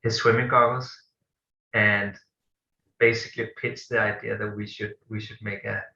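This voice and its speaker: A Danish-accented man in his thirties speaks with a low, fairly monotone voice that still has a bright, forceful edge. He talks hesitantly, with many fillers and restarts. The sound is roomy and echoey, and a bit dull and lo-fi. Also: normal speed, creaky, a little hiss or hum. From Denmark. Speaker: guest, company co-founder. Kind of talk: interview answers.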